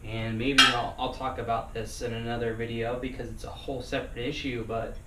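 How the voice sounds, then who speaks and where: A man talking casually in a personal vlog, rambling with many fillers and restarts, his voice still getting over hoarseness. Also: mid-range voice, a bit bright. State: recovering from lost voice. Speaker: vlogger. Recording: echoey room, mic at a distance, noisy.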